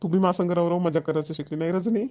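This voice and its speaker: Guy doing a village husband, quick talker, highish voice, playful, rural maharashtrian accent, cartoon. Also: fairly even intonation, mellow, close to an everyday voice. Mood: playful, coaxing. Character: playful, fast-talking village husband, easygoing family man. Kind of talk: cartoon family dialogue.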